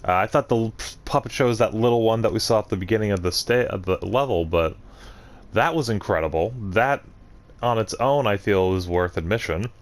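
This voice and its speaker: A man's voice: fairly low pitch, fairly quick. A man hosting a gaming video with casual let's play commentary.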